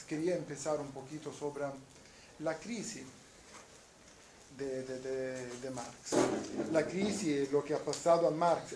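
A man from Naples with an Italian accent giving a university lecture, speaking haltingly with many restarts. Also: medium pitch, a little mellow, echoey room, slight background noise, rough recording. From southern Italy, Naples. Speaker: guest lecturer.

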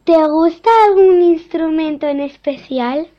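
A young interviewer reads prepared questions slowly and carefully. The voice is very high, and the pace is unhurried. The sound is dull and lo-fi. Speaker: child interviewer.

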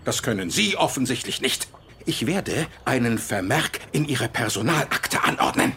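A man's voice: mid-range voice, expressive, normal speed, bright, forceful tone, a little hiss or hum. A man playing a condescending police superior in a radio drama, formal and reprimanding. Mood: stern, dismissive. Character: stern, condescending, sexist male police superior. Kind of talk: German radio drama acting.

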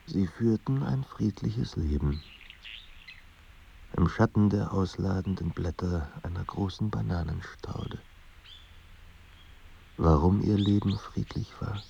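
Casting: somber radio-play narrator, introspective and measured, dark low voice. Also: male voice, vocal fry, heavily acted. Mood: somber, reflective. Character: somber male literary narrator of a troubled writer's life. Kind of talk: scripted literary narration in a German radio play. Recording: dull sound with faint background noise.

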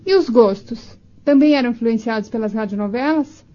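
A woman's voice: a little high, moderate pace, dark tone. A Brazilian woman reading scripted narration as the narrator of a radio documentary. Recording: slightly muffled lo-fi audio.

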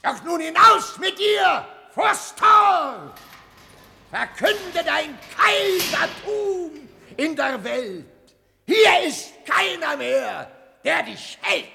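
A commanding mock master of ceremonies proclaims grandly in an old radio play. The voice is piercingly high, very slow and swooping, ringing through a long hall reverb. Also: male voice, lively intonation, creaky, shouting, noisy background, full character voice. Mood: triumphant mockery. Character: commanding, mocking master of a mad coronation. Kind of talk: 1960s radio drama acting.

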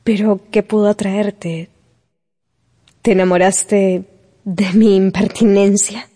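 An actress playing an earnest, candid young woman in love in a Spanish-language radio drama, with deliberate delivery. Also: mid-range voice, level tone, warm tone. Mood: tender, curious.

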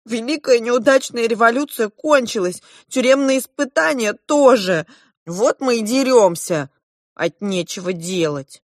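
A woman's voice: on the high side, measured pace, no room sound, studio quality, voice pushed up, lightly acted. A woman voicing quarrelsome conjoined twin girls in an audiobook, with plaintive, dramatic delivery. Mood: tearful.

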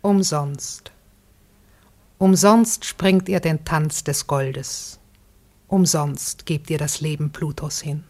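Slow, ominous spirit of poverty. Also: female voice, sits low, steady tone, darkish tone, exaggerated voice. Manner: slow, prophetic declamation. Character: Poverty personified, ominous, solemn female spirit. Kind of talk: German radio play acting of classical drama.

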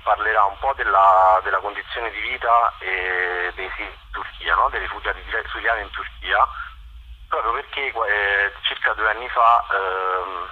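A man talks conversationally as a radio guest over the telephone. His voice is on the low side and he explains haltingly, through a muffled line with clear background noise. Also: steady tone. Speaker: photographer, exhibition guest. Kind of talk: conversational radio phone interview. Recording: dull, noisy phone line.

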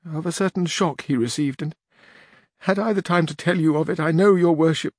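A man's voice: a little fast, a little mellow, dry recording, clean studio sound, natural voice. A man reading the part of an elderly widowed duenna who tells her story at length and turns tearful. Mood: earnest. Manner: long-winded, earnest, rises higher when weeping. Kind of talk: audiobook narration.

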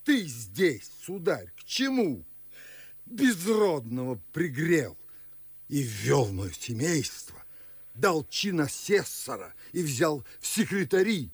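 Suspicious grumbling father, middle-aged, pompous, very animated pitch, slow deliberate pace, classic verse radio drama. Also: slightly rough, no echo, full character voice. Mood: indignant, scolding. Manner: grumbling, pompous, theatrical drawn-out delivery.

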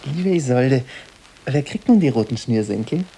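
A man's voice: mid-range voice, expressive, moderate pace, warm tone, slight background noise, close to an everyday voice, low-quality recording. An actor playing a sarcastic, blasé teenage boarding-school boy in a radio drama, talking in a dry, offhand way. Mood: blasé sarcasm. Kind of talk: literary German radio drama dialogue.

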